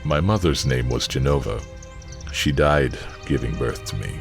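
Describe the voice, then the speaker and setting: An actor playing a cold, aloof elite soldier commander in a video game, speaking in a measured, detached way. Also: male voice, extremely low pitch, animated, creaky, over music, heavily acted. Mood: brooding.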